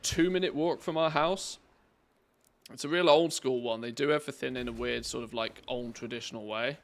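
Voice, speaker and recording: A man's voice: medium pitch, normal speed, vocal fry. A man streaming a game, chatting casually with his viewers and grumbling at the game between rambling stories. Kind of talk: casual chat while playing a game.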